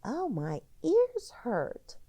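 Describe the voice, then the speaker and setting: A woman voicing indignant, complaining farm animals in a children's storybook, with loud, emphatic, drawn-out scolding. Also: sits high, huge pitch swings, voice pushed up, heavily acted. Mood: pained complaining.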